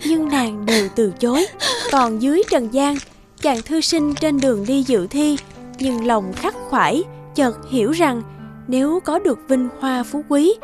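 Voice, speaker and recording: A woman giving scripted storybook narration for a cartoon fairy tale. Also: high-pitched.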